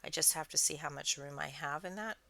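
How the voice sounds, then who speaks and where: A woman hosting a craft channel, chatting casually in a soothing, soft-spoken, rambling way. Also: sits low, a little fast, vocal fry, no room sound. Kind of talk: casual chat while crafting.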